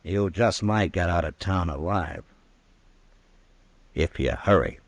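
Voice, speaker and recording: A weary old west marshal, real low voice, terse and bossy, dry close sound, 50s radio drama. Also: male voice, takes it slowly, no echo, full character voice. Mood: grim. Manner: terse, authoritative. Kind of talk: 1950s radio western acting.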